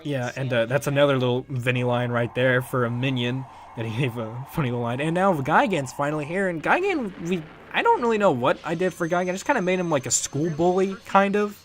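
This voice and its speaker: A man giving casual, unscripted commentary as the creator of a fan project, rambling and halting as he talks. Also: fairly high pitch, lively intonation, a little hiss or hum. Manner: rambling, halting, chuckling now and then.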